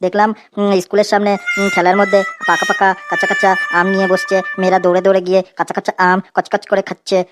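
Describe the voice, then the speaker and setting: A woman's voice: mid-range voice, completely flat delivery, fast, hardly any pauses, heavy background noise, close to an everyday voice. A woman as a cheeky cartoon schoolboy, narrow-ranged. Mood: cheeky, wheedling. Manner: naive, wheedling, colloquial. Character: cheeky dim schoolboy, a self-styled poet. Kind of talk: comedy cartoon dialogue.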